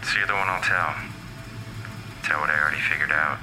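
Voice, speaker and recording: A man playing a haunted, bitter scientist gone menacing, giving a brooding, deliberate audio drama monologue as a voicemail. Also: very deep voice, steady tone, over music, low-quality recording. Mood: menacing, resolved.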